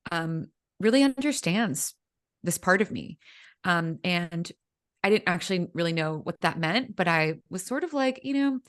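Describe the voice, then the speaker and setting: A woman's voice: on the low side, fairly bright tone. A woman in her thirties whose first language is Vietnamese, a guest in a casual interview, talking chattily with many fillers. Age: 30s. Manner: chatty, frequent fillers like um and you know. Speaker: guest, executive coach.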